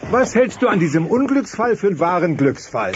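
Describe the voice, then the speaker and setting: High-pitched blustering grumpy dinosaur dad. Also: male voice, sing-song, a little fast. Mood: irritated. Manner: irritable, blustering, dismissive. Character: grumpy, blustering dinosaur father, stubborn and loud. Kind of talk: comic cartoon audio play acting. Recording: muffled old cassette, faint noise.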